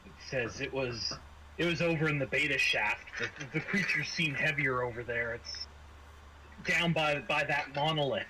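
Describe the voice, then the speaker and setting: A man acting as game master, narrating a tabletop role-playing game in a halting, improvised way that repeats phrases. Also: mid-range voice. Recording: home recording with clear background noise.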